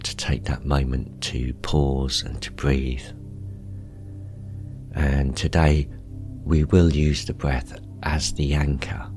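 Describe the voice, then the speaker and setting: Male meditation guide, extremely low voice, calm and gentle delivery over background music. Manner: calm, gentle, unhurried with pauses. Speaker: meditation guide, show host.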